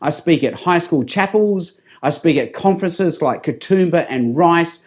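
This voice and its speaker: A middle-aged man with an Australian accent giving a personal testimony as a public speaker, in a deliberate, earnest way. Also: a little high, expressive. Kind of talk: testimony addressed to camera.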